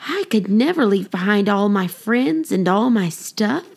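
A woman's voice: mid-range voice, lively intonation, measured pace, gravelly. A woman voicing a stubborn, strong boy in a storybook, blunt, scornful and dismissive. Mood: bossy, stubborn.

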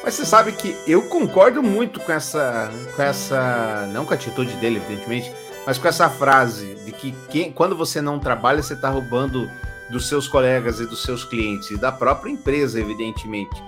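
A man's voice: medium pitch, lively intonation, normal speed, hardly any pauses, music in the background. A Brazilian man co-hosting a podcast, reading news stories aloud and adding dry, sarcastic comments.

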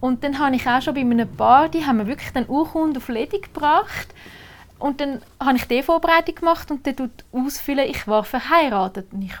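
Swiss German registrar, female, slightly high-pitched, patient explainer. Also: brisk. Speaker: civil registrar. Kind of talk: casual interview answers. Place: Switzerland.

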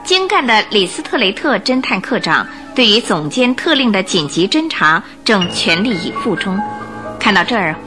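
A woman's voice: mid-range voice, sing-song, moderate pace, over music. A woman narrating a radio drama, reading scripted story and news reports.

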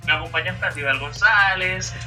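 A Venezuelan man in his twenties, a radio announcer hosting a casual podcast chat, talking in a chatty, animated way and correcting himself as he goes. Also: high-pitched, normal speed, recorded from a distance, music in the background. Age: 20s.

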